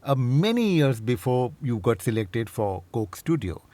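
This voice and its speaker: Curious interviewer with a lively voice. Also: medium pitch, unhurried, no room sound. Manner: curious, friendly. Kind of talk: interview questions.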